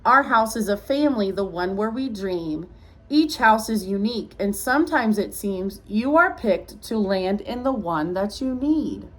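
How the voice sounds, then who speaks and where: A woman's voice: mid-range voice, expressive, unhurried, natural voice. A woman reading the part of a proud, welcoming schoolboy in a rhyming storybook, earnestly declaring his lines.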